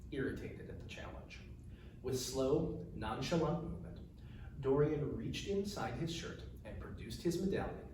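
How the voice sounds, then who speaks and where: A man reading his fantasy novel aloud as its author, in a measured, formal storytelling delivery. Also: a little high, a little mellow. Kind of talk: narrated fantasy fiction reading. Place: echoey room, recorded from a distance. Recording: distant mic, very echoey room, faint noise.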